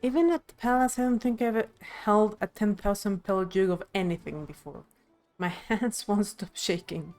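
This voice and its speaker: A woman's voice: mid-range voice, normal speed, darkish tone, soft background music. A woman reading video game dialogue aloud expressively on a live stream.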